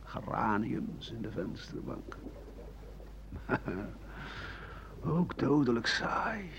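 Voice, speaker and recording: Bitter old-days-recalling doctor in a radio drama, creaky low voice, swings a lot, dull hissy tape. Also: male voice, very animated, heavily acted. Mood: brooding, sombre. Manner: slow, brooding, halting delivery with swings of emphasis. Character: embittered war-weary French doctor recalling his past. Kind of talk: 1980 Dutch radio play, monologue and dialogue.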